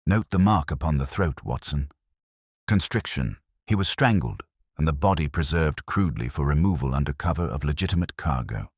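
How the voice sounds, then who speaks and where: A man voicing a coolly analytical gentleman detective in an audiobook, stern, composed and precise. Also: very deep voice, animated, moderate pace, husky, no echo, clean studio sound, heavily acted. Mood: clinical.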